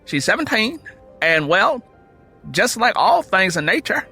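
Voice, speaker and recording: A man playing an earnest, polite but determined young rural churchman with a steady, respectful, folksy way of talking, in an audio drama. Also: high-pitched, unhurried, breathy edge, faint music behind, pitched above the performer's own voice, exaggerated voice.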